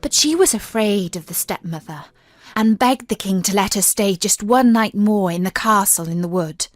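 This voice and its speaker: A woman reading a fairy tale as an audiobook narrator, in a measured, careful storybook manner. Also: mid-range voice, dry recording, clean studio sound. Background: background music.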